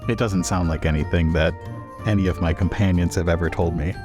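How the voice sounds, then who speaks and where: A man's voice: deep voice, steady tone, a little fast, over music. A man playing a tabletop roleplaying game, switching between casual game chat and in-character talk.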